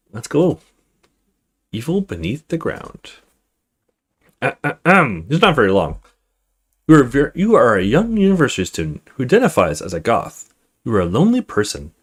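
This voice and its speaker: A man's voice: medium pitch, husky. A man doing a let's play, reading a horror text game aloud dramatically, stumbling now and then and adding casual asides. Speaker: video game streamer.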